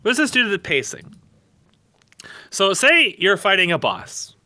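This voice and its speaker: A man's voice: sits high, very animated, a little slow, bright, forceful tone, dry recording, studio quality. A man in a casual, opinionated gaming podcast discussion, speaking bluntly and emphatically with plenty of profanity. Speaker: podcast co-host. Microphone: close mic on an online voice call.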